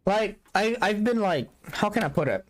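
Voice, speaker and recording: A man's voice: high voice, expressive, moderate pace. A young adult man streaming a game in casual chat, joking about himself and laughing often. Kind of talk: casual live-stream chat while gaming.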